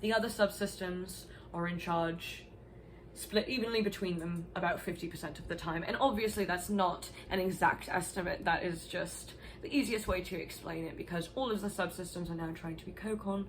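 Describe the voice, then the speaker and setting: A woman's voice: fairly low pitch, normal speed, slight background noise, poor audio. A woman vlogger talking casually and at length, rambling a little and swearing now and then. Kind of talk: casual explanatory vlog.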